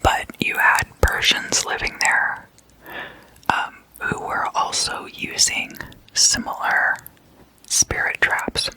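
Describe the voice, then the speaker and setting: An ASMR host speaking in a breathy whisper, explaining things casually and haltingly in short phrases. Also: rough recording.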